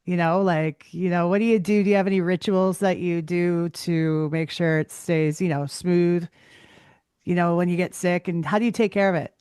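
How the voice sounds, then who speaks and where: A woman's voice: on the low side, steady tone, moderate pace, darkish tone, smooth, dry recording, studio quality. A woman podcast host who reads a promo and asks easygoing interview questions.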